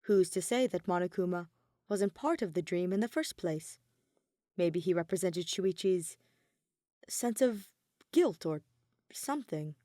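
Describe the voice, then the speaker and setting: A woman's voice: on the low side, lively intonation, measured pace, pauses often, warm tone, dry recording, clean studio sound. A woman reading scripted fiction aloud as an audiobook narrator.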